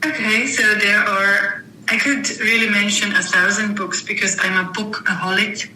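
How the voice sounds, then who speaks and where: Bright-voiced woman in a roomy space. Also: mid-range voice, fairly even intonation, normal speed. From Germany. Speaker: interview guest, author and coach. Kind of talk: reflective interview conversation. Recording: roomy echo, faint background noise.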